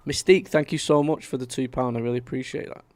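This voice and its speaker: A man's voice: medium pitch, fast talker, a little mellow, dry recording. A man, chatting casually to viewers on a live stream and reading out their comments. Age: 30s. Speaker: livestream host.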